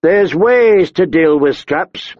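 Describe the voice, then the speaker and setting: A man voicing a big, gruff male outlaw who taunts and threatens in an audiobook story. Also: a little high, animated, takes it slowly, no room sound, muffled recording, pitched above the performer's own voice. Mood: threatening.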